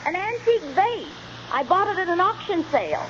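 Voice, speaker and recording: Radio sitcom mom: high voice, brisk and mock-reproachful, muffled vintage recording with heavy hiss. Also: female voice, big pitch swings. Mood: eager. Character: bright young housewife and mother, fondly scolding. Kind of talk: 1940s radio sitcom acting.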